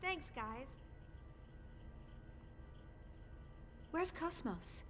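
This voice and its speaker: A woman's voice: high voice, lively intonation, slow pace, a bit bright, slight background noise, heavily acted. A woman voicing a polite, wistful young female scientist in a video game cutscene. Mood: friendly. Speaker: game voice actor. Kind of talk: English game cutscene acting.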